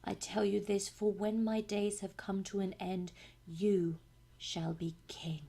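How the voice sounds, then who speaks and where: A grave fantasy monarch played by a woman speaks with hushed pride, as to an heir. The delivery is solemn and measured, with a creaky grain. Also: medium pitch, level tone, full character voice. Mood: solemn, tender. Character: grave, proud royal parent addressing a son, fantasy game.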